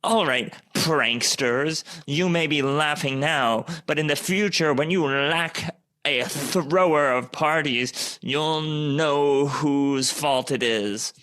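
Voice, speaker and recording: A man's voice: on the high side, slow pace, sharp tone, voice pushed up. Man acting a pompous, cowardly rich young man. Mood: pompous, indignant. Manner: pompous, stammering when afraid. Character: haughty, cowardly rich young man, whimpering when threatened. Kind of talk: improvised radio play acting.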